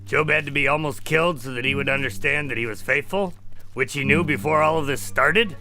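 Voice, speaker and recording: A man's voice: fairly high pitch, fairly quick, sharp tone, soft background music, low-quality recording. A man playing an irreverent, questioning time-traveling adventurer in a radio drama, talking in a casual, wry way. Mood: puzzled, questioning.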